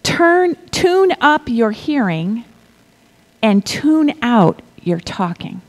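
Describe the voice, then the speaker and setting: A middle-aged woman preaching a sermon, reading scripture in a measured way and dropping to a whisper for effect. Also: a little high, big pitch swings. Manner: slow, deliberate delivery with pauses and soft whispered stretches; asks the congregation to repeat lines.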